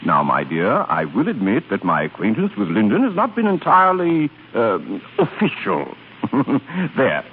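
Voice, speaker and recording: Suave philanderer on crackly, tinny radio. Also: male voice, fairly low pitch, wildly swooping pitch, moderate pace. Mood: smoothly condescending. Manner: suave, condescending, theatrical. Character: smooth, evasive aristocratic philandering suitor. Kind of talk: 1930s radio acting. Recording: muffled, noisy 1930s radio recording.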